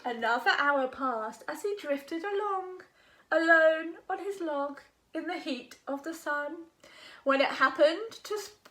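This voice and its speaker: A woman reading a rhyming picture book aloud to children in an expressive, engaging way. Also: sits high. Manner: expressive, asks the young listener questions. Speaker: children's storyteller.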